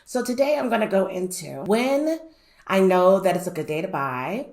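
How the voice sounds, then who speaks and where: A woman's voice: medium pitch, big pitch swings, moderate pace, gravelly, darkish tone. A woman talking casually to her viewers about investing, her voice a little nasal from a lingering cold, with frequent "right?" and "um" asides. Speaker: finance YouTuber. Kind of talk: casual explainer talk to camera.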